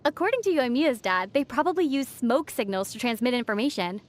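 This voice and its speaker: Woman voicing a high-voiced young traveler girl. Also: expressive, brisk, close to an everyday voice. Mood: calm, thoughtful. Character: young female traveler, gentle, wry, teasing adventurer. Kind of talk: game voice acting. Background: faint background music.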